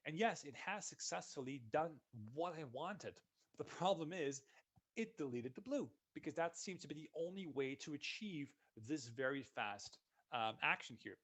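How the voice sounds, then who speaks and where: A man, a solutions consultant giving a relaxed, conversational walkthrough of software in a live webinar. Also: on the high side, moderate pace, husky. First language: Dutch. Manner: relaxed, conversational walkthrough narrating each click.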